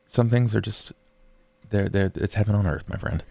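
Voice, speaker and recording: Deep, gravelly man in casual chat. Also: moderate pace, mellow, no echo. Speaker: podcast cast member and player.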